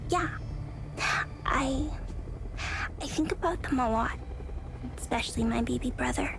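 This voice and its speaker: A woman voicing a gentle, sad, innocent young girl in a video game, speaking softly and breathily in simple childlike phrases. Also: high-pitched, huge pitch swings, a little slow, noisy background, full character voice. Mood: wistful, subdued. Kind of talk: subdued game dialogue acting. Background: music.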